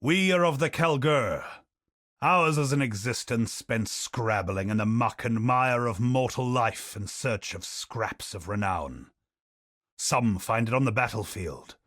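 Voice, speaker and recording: A grave and learned expedition captain in a video game, telling old lore in a measured, solemn way. His voice sits at a middle pitch and is recorded dry and close, with no room sound around it. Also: a bit bright, dry recording. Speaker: game character.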